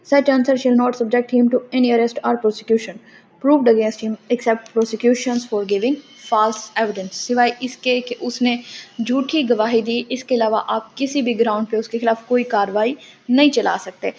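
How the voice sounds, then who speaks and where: A Pakistani woman lectures on law in a rather high voice with heavy vocal fry. She reads legal wording aloud and explains it like a teacher. The recording is dull and lo-fi, with faint noise behind her. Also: fairly even intonation, takes it slowly, a little mellow. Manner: didactic, explanatory.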